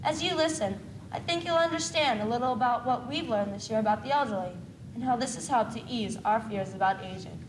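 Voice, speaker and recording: A high, youthful female voice delivers scripted, earnest narration a student girl acting as presenter. It comes from a school stage. The room echoes and the old video recording is noisy. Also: brisk, natural voice. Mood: earnest, solemn. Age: young teenager.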